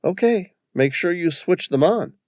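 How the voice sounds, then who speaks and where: A man's voice: high-pitched, lively intonation, measured pace, a little mellow, dry recording, studio quality, voice pushed up. Virginia, a wry paramedic, as a man voices her. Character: wry woman paramedic, tough, practical.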